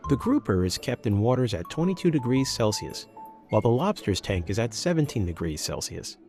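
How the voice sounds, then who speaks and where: A man's voice: medium pitch, sing-song, fairly quick, darkish tone, soft background music. A man playing a fussy, polite fine-dining waiter who explains things formally and pompously in an audio drama. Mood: proudly attentive.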